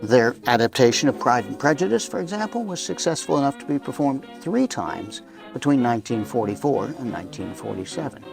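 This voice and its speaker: Wry male host, slightly creaky voice. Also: mid-range voice, expressive, a little fast, over music. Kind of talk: semi-scripted chatty introduction.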